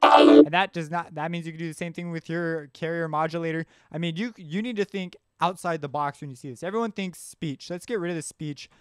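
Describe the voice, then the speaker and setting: A man presenting a software tutorial in an informal, offhand way, thinking aloud as he works. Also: on the high side, steady tone, brisk, clear, resonant tone, no echo, clean studio sound. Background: synth music and instrument sounds.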